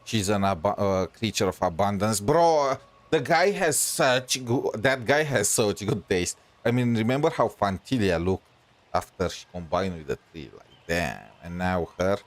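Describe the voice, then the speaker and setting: A man's voice: fairly low pitch, animated, unhurried. A man giving casual, rambling game commentary as a streamer, slangy and opinionated. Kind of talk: casual reaction commentary over gameplay.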